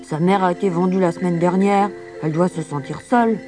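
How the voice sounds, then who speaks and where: A woman's voice: fairly low pitch, fairly even intonation, brisk, over music, voice pushed up, lightly acted. A woman voicing a friendly, earnest young goatherd boy in a children's storybook. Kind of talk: children's storybook character acting.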